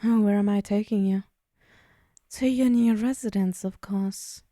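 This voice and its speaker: A woman playing a possessive, teasing female demon in an ASMR roleplay, menacing yet indulgent, hushed and speaking directly to the listener. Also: mid-range voice, fairly even intonation, a little slow, no room sound, studio quality, close to an everyday voice. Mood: possessive, reassuring.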